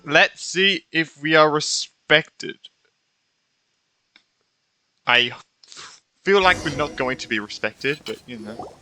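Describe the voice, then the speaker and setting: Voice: medium pitch, expressive, slow pace, pauses often, pushed and bright, slight background noise. A game streamer giving casual play-along commentary in reactive, muttered asides.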